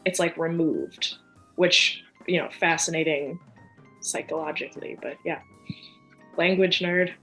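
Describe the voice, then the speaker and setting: A chatty young woman from near Chicago, talking easily as a podcast guest. Her voice sits a little low, and she keeps slipping into quoted asides. A faint bed of music plays under her. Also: measured pace, poor audio. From United States, near Chicago. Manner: chatty and animated, lots of fillers and quoted asides. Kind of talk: casual conversational interview.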